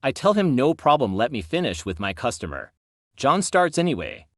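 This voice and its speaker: Male narrator for scripted stories, animated intonation, dry sound, plays out quoted lines. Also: medium pitch, normal speed, dry recording. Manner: acts out quoted dialogue. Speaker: channel host narrator reading stories.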